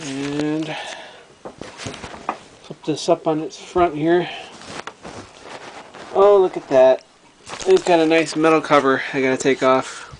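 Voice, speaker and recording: A man talking casually and haltingly, thinking aloud as he shows a hobby repair project in a home recording. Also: a little high, steady tone, a little hiss or hum, low-quality recording. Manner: halting, thinking aloud while handling the object. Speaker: hobbyist vlogger showing a radio repair project. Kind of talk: casual unscripted show-and-tell narration. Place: indoors at home.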